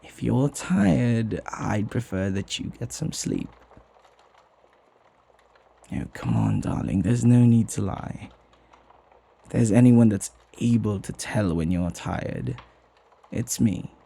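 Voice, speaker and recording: A flirty, lovestruck butler acted by a man for an ASMR roleplay. His voice is husky and mellow, with very unhurried pacing. He speaks tenderly, teasing and hesitating as he dotes on his beloved master. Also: fairly low pitch, lively intonation, heavily acted. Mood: coaxing, fond. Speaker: voice actor.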